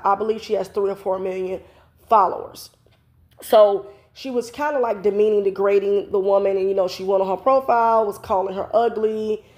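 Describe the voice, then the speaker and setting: A woman's voice: mid-range voice, darkish tone. Congested woman giving chatty opinions. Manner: chatty, opinionated, frequent "you know" fillers. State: congested from a cold. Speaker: vlogger host. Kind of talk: casual commentary vlog. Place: home, indoors. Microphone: phone camera close up.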